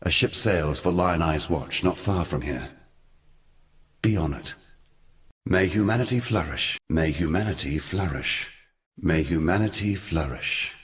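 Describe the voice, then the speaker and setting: A man playing an ancient, forgotten god in a video game, somber and weary, speaking solemnly and deliberately in archaic, portentous phrases. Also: low voice, a bit bright, in a large hall. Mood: stern exhortation. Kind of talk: scripted video game character dialogue.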